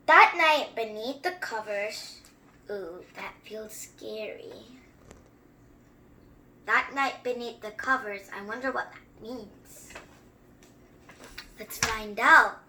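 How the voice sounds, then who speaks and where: A young-sounding story reader who reads a picture book aloud and chats through it with expressive, wandering asides. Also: sits high, slight background noise. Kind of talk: children's story time read-aloud with excited running commentary.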